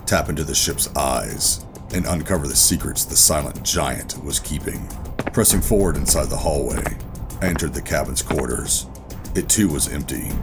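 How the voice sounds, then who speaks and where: An actor playing a hardened, wary operative who narrates a sci-fi horror audio drama in a brooding, deliberate, terse way. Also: male voice, extremely low pitch. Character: hardened covert operative narrating, adult man, wary and steady. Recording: music behind the voice.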